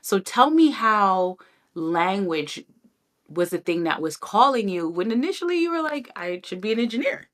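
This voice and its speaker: A woman's voice: mid-range voice, sing-song, normal speed. Enthusiastic, affirming woman podcast host. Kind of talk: podcast interview conversation.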